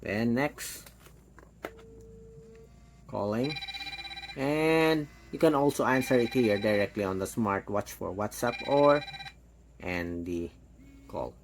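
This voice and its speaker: A man giving a casual, hands-on product demo, talking step by step in short, halting phrases. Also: lowish voice, a little hiss or hum. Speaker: product reviewer.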